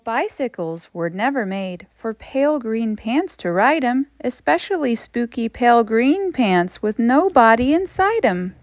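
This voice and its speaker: A woman with a high voice reads a children's storybook in a very animated way. She stretches words for suspense and leans into dramatic emphasis, taking her time. The recording is close and dry. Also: dry recording. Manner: dramatic, drawn-out emphasis with big swoops for suspense. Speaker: teacher reading a storybook aloud. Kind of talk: expressive children's read-aloud.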